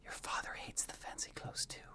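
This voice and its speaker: A man voicing a gentle, affectionate queen mother in a fantasy story, fond and reassuring. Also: mid-range voice, big pitch swings, brisk, slight background noise, pitched above the performer's own voice.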